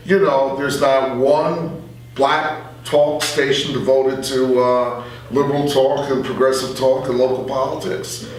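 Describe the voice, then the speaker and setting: An older man reflecting in a casual interview as a veteran radio guest, animated and repeating phrases for emphasis. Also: mid-range voice, recorded from a distance. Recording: very echoey room, off-mic, faint hum.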